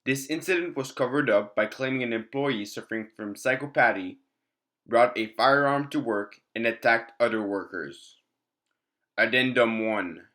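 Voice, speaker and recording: A man narrating a scripted clinical report in a formal, measured way. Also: medium pitch, bright, forceful tone.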